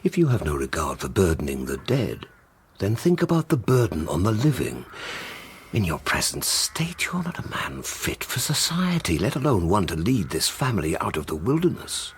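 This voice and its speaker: Stern old dad in a period audio drama, very deep, swingy intonation, formal scolding. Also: male voice, huge pitch swings, normal speed, slight background noise, heavily acted. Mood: stern, reproving. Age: older. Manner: formal, admonishing. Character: stern older gentleman father, dutiful and reproving.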